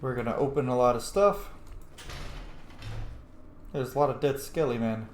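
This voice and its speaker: A gamer giving casual, playful commentary, jokey and sweary, prone to excited exclamations. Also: mid-range voice, moderate pace. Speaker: gamer, let's play host. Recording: roomy mic, faint noise, game ambience.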